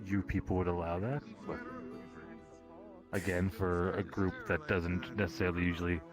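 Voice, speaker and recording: A man's voice: sits low, fairly even intonation, moderate pace, lightly acted. A man playing a guarded, laconic hobbit beekeeper in a fantasy roleplay, answering in a dry, reserved way. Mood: dry, wary. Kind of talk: improvised fantasy roleplay acting. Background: background music.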